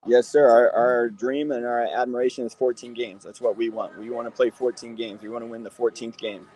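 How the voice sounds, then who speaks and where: An American man, a high school football coach, answering interview questions earnestly and repeating phrases for emphasis. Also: level tone, brisk, darkish tone, low-quality recording.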